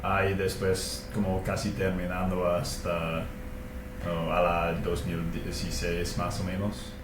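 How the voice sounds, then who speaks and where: Male interview host, low voice, slow and halting; echoey room, clear noise behind. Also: monotone. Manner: halting, searching for words. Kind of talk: casual interview questions.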